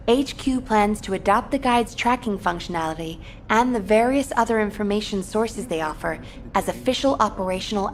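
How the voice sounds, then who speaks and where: Actress as dutiful woman officer, game briefing, mid-pitched, formal, measured, faint ambient noise. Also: natural voice. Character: composed, dutiful female military operations officer. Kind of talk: video game mission briefing.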